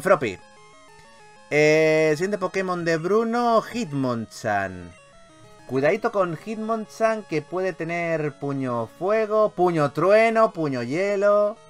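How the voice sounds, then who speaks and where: A man from Spain hosts a gameplay video in a fairly high, very lively voice. His commentary is theatrical and foul-mouthed, with phrases often repeated. Faint game music plays behind him. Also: takes it slowly.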